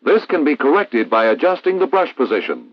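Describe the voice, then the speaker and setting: An American man reading a scripted instructional narration in a formal, measured, didactic way, as in a 1960s military training film. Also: medium pitch, sing-song, no room sound. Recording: dull vintage 1960s film soundtrack.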